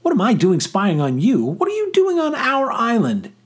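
An excitable adolescent boy from a storybook, performed by a male reader, raised in pitch and swinging widely. He sounds flustered and stubborn yet earnest, and his voice is apt to crack. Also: a little slow, husky, exaggerated voice. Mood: angry, flustered. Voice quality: voice prone to cracking.

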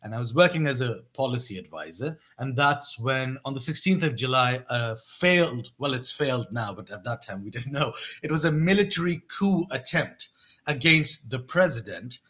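A man in his thirties, born in Pakistan and raised in England, calmly recounting his past as a guest on an interview show, with frequent restarts. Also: mid-range voice. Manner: reflective, measured, with many restarts. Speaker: guest, education company co-founder. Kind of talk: storytelling in a podcast interview.

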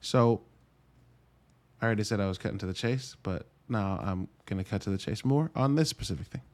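Low-voiced man, casual rambling podcast host. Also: fairly quick, darkish tone. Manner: rambling, conversational, self-interrupting. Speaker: podcast host and reviewer of films and games. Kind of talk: casual solo talk to listeners.

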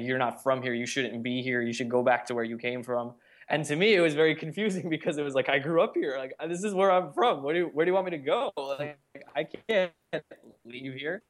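A man's voice: medium pitch, fairly bright tone. Young guy on a podcast, earnest, rambly, says "like" a lot, telling his own story. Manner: earnest storytelling, frequent "like" fillers. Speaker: podcast guest. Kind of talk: casual conversation.

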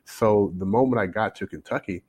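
A man's voice: fairly low pitch, brisk, darkish tone, husky. A man in his forties with a North American accent, telling his story conversationally as a podcast guest. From Canada, then United States from age eight. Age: 40s. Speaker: life coach, podcast guest.

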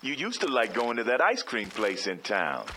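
A gravelly-edged, bright affectionate father, lowish and hugely animated. He takes his time, reassuring and teasing in a folksy audio drama manner. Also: normal speed, slight background noise, exaggerated voice. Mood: fond, reassuring. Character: affectionate, encouraging father of a grown daughter. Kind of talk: naturalistic audio drama dialogue.

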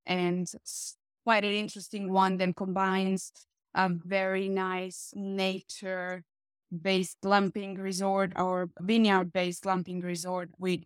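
Woman, measured panel presenter, flat intonation. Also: fairly low pitch, dry recording, studio quality. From Serbia. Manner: composed, orderly presentation. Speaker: glamping resort representative. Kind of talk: panel discussion.

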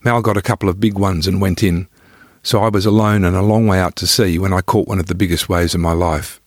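Deep-voiced man narrating his memoir. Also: fairly even intonation, brisk, no room sound, clean studio sound. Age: middle-aged. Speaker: author reading own travel memoir. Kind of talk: scripted first-person narration.

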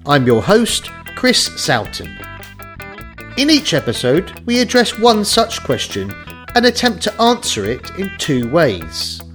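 Lively-voiced male podcast host. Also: a little high, soft background music. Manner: clear, measured read-out delivery. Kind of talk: scripted podcast narration.

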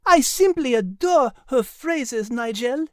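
A man's voice: extremely high pitch, lively intonation, takes it slowly, a little mellow, no room sound, studio quality, pitched above the performer's own voice, full character voice. A man voicing a coy, giggly female storybook animal with a dainty, refined manner and a sprinkling of French phrases. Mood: coy, pleased.

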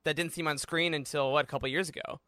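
A man's voice: a little high, fairly even intonation, racing, bright, forceful tone, no echo, clean studio sound. A man co-hosting a casual podcast interview in an agreeable, informal way. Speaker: podcast co-host.

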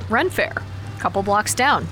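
A woman's voice: medium pitch, animated, unhurried, sharp tone, faint music behind. A woman playing a level-headed, observant companion on a shady errand in a modern audio drama. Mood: easygoing.

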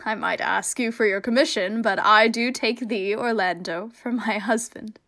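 A woman's voice: mid-range voice, normal speed, fairly bright tone, natural voice. A woman playing a witty, commanding young heroine in a Shakespeare radio comedy, teasing and mock-stern. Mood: eager, teasing. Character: witty, commanding young woman, playfully testing her suitor.

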